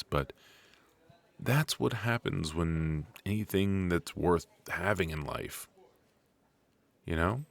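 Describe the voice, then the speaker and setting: Aktor grający towarzyskiego faceta o bardzo niskim głosie. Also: male voice, low-pitched, no echo, full character voice. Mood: earnest, reassuring. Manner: conversational, reassuring, with pauses for an unheard listener. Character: friendly, chatty extroverted man at a coffee shop mixer. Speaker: voice actor in an audio roleplay. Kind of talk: ASMR roleplay, casual one-sided conversation.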